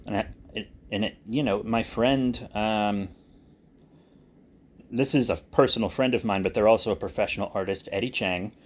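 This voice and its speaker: A man's voice: lowish voice, moderate pace. A man giving a casual, rambling update to viewers as an online creator, informal and often correcting himself as he thinks aloud. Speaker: online video creator and writer.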